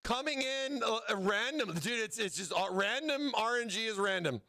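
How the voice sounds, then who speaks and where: A man hosting a livestream giveaway, excitable and playful as he hypes up his chat, his voice strained from overuse. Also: sits high, moderate pace. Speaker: livestream host.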